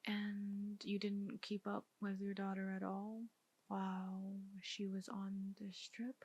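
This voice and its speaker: Slow, breathy woman detective, ASMR. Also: mid-range voice, monotone, a little mellow, muffled recording. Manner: measured, deliberate questioning. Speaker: ASMR roleplayer playing a detective. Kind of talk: soft-spoken, breathy ASMR roleplay.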